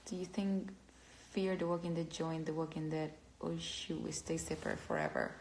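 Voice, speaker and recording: Cuban lady on a phone live stream, gravelly low voice, gushy and halting. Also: flat delivery, darkish tone, a little hiss or hum, low-quality recording. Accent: Cuban Spanish. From Cuba. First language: Spanish. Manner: halting, searching for words, gushing. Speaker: actor answering fans. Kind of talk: casual livestream chat.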